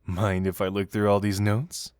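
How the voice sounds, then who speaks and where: A man's voice: on the low side, level tone, moderate pace, clean tone, no echo, clean studio sound, lightly acted. A man acting a playful, teasing young male detective in an audio roleplay, musing theatrically to the listener. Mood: teasing, intrigued. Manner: teasing, theatrical, musing hums and soft chuckles.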